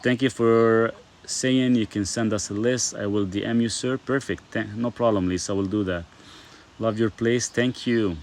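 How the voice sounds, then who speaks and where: A man's voice: on the low side, fairly even intonation, normal speed. A middle-aged man from Lebanon hosting a casual live stream, reading viewer comments aloud and answering them in a chatty way, full of fillers.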